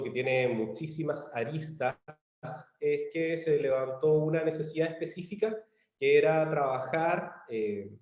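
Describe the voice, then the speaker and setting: A man from Chile with a Chilean accent gives a measured online presentation, pausing on fillers and restarting phrases. His voice sits in a middle range. It comes through a dull, lo-fi video-call line from a roomy space. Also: mid-range voice, darkish tone. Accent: Chilean Spanish. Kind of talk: virtual conference presentation. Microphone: computer mic on a video call.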